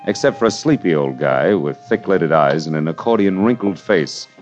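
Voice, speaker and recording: A hard-boiled private eye with a very deep voice, cool and wisecracking as he narrates the story. The sound is dull and muffled, an old radio broadcast recording with faint music underneath. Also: expressive, moderate pace. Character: wisecracking tough male private eye, middle-aged. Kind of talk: 1940s radio drama acting.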